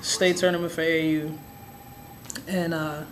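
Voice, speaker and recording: A young woman with a raspy, hoarse voice telling a personal story in a relaxed, rambling way with many fillers. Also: sits low, a little slow, a little hiss or hum, low-quality recording. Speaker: vlogger. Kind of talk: casual personal storytelling to camera.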